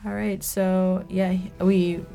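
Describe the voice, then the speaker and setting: A woman host introducing the next segment in a loose, relaxed way. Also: medium pitch, slow pace, gravelly, faint music behind.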